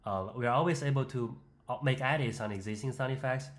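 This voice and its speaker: A man giving an explanatory, step-by-step voice-over for a software demonstration. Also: mid-range voice, fast. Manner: step-by-step, instructional. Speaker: software tutorial presenter. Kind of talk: explanatory screen-demo voice-over.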